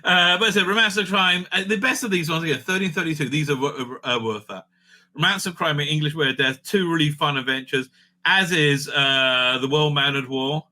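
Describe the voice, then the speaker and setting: Bright, sharp-voiced man rambling through reviews. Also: fairly high pitch, a little fast. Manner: rambling, opinionated, repeatedly tags phrases with "right?". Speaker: host of a livestream review show. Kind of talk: casual off-the-cuff review chat. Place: indoors at home.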